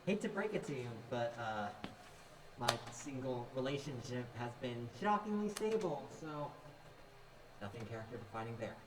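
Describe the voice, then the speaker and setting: A mild, hesitant young man played by a student actor in a stage play. His pitch moves in lively swings, and he sounds far off in an echoey room. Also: normal speed, natural voice. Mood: tentative. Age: young adult. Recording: echoey room, far mic, clear noise.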